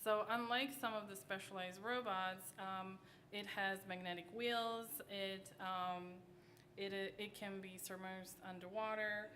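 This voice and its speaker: Soft-spoken woman pitching hesitantly. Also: fairly even intonation, unhurried, slight background noise. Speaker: startup team member pitching. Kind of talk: presentation pitch. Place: event hall.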